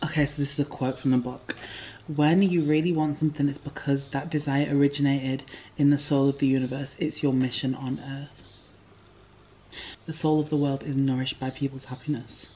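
A young woman chatting to a handheld camera in a room, rambling and lively as she talks. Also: extremely low pitch, moderate pace, slight background noise, rough recording. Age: young adult. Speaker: vlogger. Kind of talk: casual chat to camera. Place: indoor room with bookshelves at a retreat. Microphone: handheld camera at arm's length.

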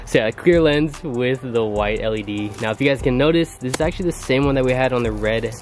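A man's voice: medium pitch, brisk, background noise. A man in his twenties vlogging casually about car parts, upbeat and a little nasal from a cold. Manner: upbeat, says "guys" a lot. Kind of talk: casual vlog chat. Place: driveway and garage, outdoors.

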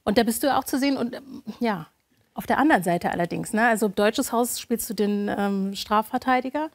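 A woman's voice: on the high side, brisk. A woman in her thirties hosting a TV talk show in a studio, interviewing in a conversational way. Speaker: TV host, interviewer. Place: TV studio, indoors. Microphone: lapel mic.

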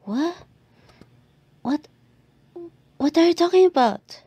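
A woman playing a sweet, watchful yandere girl in an ASMR roleplay, breathy and soft-spoken, often slipping into whispers. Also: sits high, expressive, takes it slowly, no room sound, full character voice. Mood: sweet, surprised.

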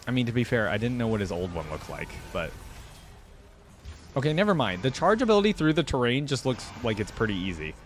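A man's voice: medium pitch, sing-song, brisk, soft background music. A young man giving casual, informal gameplay reaction commentary, musing aloud into a close microphone. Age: young adult. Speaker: reaction video host. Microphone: close desktop microphone with headset. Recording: clear background noise.

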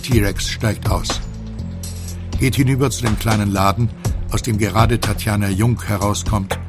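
A man narrating an audio drama from a script in a measured, deliberate way. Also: lowish voice, fairly even intonation, over loud music.

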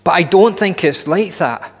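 Guy in his 30s preaching, slow and careful, fairly high voice, long hall echo. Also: expressive. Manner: earnest, slow and deliberate with many restarts and rising emphasis. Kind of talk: sermon. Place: church hall with long reverb. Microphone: headset mic. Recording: reverberant church hall.

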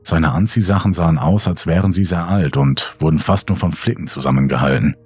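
A man's voice: very deep voice, a little fast, darkish tone, breathy edge, no room sound. A man reading a scripted horror story as a dramatic narrator. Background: music under the voice.

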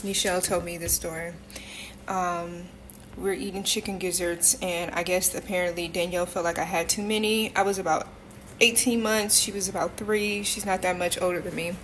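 A woman's voice: medium pitch, noisy background. A young woman with a speech impediment telling a story to her phone camera at home, blunt and candid, cursing casually. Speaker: vlogger. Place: kitchen and living room at home, indoors. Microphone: phone camera held close.

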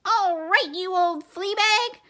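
A woman voicing a squeaky, bossy male mouse army commander in a storybook reading. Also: takes it slowly, full character voice. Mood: commanding. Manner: high squeaky shouting.

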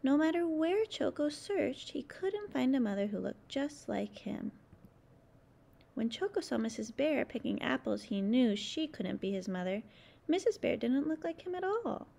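A woman narrating a children's storybook read-aloud in an expressive way, addressing young listeners. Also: high-pitched, normal speed, vocal fry.

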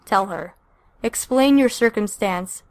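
A calm, firm young male team leader voiced by a woman narrator speaks in an audiobook superhero story. The recording is dry and close. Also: mid-range voice, takes it slowly, dry recording.

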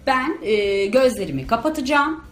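A woman hosting a kids' video, chatting in an excitable, playful way to the camera during a challenge. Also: fairly high pitch, moderate pace. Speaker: host of a kids' video channel. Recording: roomy echo with background music.

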